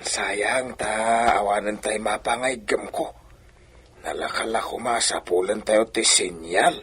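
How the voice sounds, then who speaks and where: An actor playing a deferential, scheming old man in a radio drama, with a raspy, coaxing delivery. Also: fairly low pitch, a little slow, fairly bright tone, heavily acted. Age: older.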